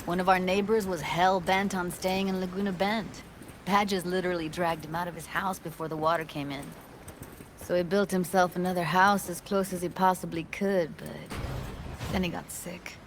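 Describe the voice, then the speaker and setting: A woman's voice: fairly low pitch, fairly even intonation, normal speed, slight background noise, lightly acted. Young woman played by an actress, wry and frank, slangy, natural game dialogue over background sound. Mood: tired, calm. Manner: casual, slangy, conversational. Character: frank, wry young woman reminiscing about her drowned hometown. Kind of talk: naturalistic video game voice acting.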